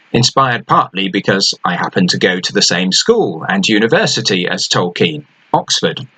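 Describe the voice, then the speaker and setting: Author, male, animated storyteller; bright, forceful tone. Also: lowish voice, husky, low-quality recording. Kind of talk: introducing his book and reading aloud.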